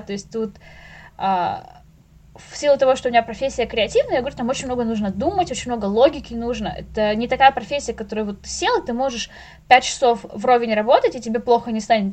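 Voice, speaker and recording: A young woman chatting casually as a podcast guest, telling things in slangy, animated speech with the odd English word. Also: on the high side. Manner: animated storyteller, acts out little inner dialogues; slangy, drops in English words.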